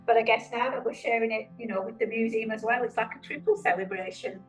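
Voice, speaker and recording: A woman's voice: on the high side, steady tone, fast talker, faint music behind, poor audio. Cheerful woman in her fifties. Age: 50s. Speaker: interviewee. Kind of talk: casual reminiscing.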